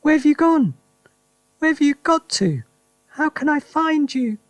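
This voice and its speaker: A man's voice: very high-pitched, big pitch swings, unhurried, no room sound, voice pushed up, heavily acted. A man voicing an anxious, wailing middle-aged housewife in a storybook.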